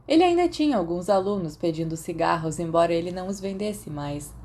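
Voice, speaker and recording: A woman's voice: on the low side, lively intonation, a little fast, darkish tone. A woman with a Brazilian accent reading scripted fiction as an audiobook narrator. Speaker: podfic narrator.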